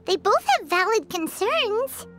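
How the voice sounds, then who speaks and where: A woman's voice: extremely high pitch, big pitch swings, measured pace, fairly bright tone, full character voice. A woman voicing a tiny, childlike, chirpy and blunt fairy companion in an anime-style video game. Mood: eager. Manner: refers to self in third person, exclaiming. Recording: studio voice over prominent music.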